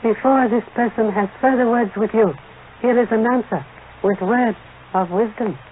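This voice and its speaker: A man's voice: sits high, moderate pace, close to an everyday voice. Give the voice of a wise, courteous Chinese detective from an old radio mystery, played by a male actor. He speaks formally in aphorisms, dropping his articles. The recording is thin as a phone line, with faint noise. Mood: courteous, sage. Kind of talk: 1940s radio mystery acting. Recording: tinny, noisy old-time radio broadcast recording.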